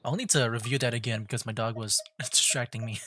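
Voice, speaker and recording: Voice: mid-range voice, fairly even intonation, a little fast, fairly bright tone, a little hiss or hum. A streamer giving casual livestream commentary while playing a game, thinking aloud in a conversational way. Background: game music.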